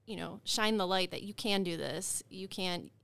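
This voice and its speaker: A woman nurse guest on a podcast, speaking in a measured, thoughtful way. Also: on the low side, creaky, fairly bright tone, clean tone, dry recording. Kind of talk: conversational podcast interview.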